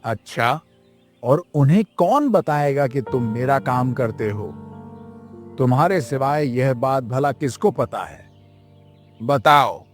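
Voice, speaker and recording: A man's voice: medium pitch, lively intonation, takes it slowly, soft background music. A man voicing a lazy, arrogant, hot-tempered young prince in a children's moral story, with a haughty, bullying manner. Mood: smug.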